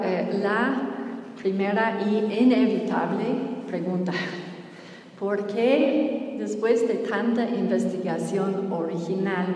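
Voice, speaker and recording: A middle-aged woman, a native English speaker with an English-speaking accent, gives a public book talk as a novelist, in a halting, careful second-language delivery. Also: mid-range voice, a little mellow. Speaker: novelist presenting her book. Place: large reverberant room before an audience. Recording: echoey hall, distant mic, faint noise.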